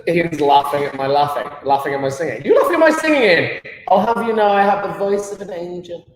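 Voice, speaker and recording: Playful British chemistry teacher, echoey room. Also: male voice, sits high, sing-song, a little fast. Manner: playful and enthusiastic, joking with pupils. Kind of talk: live online lesson.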